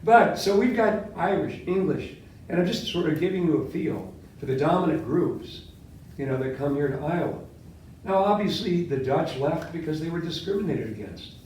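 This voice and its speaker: An older man, a history professor born in Latvia with Latvian as his first language, giving a classroom lecture and quizzing his students, talking with lively gestures. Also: on the high side, normal speed, a little hiss or hum. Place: seminar room with whiteboard. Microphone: far camera. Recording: echoey classroom from far off.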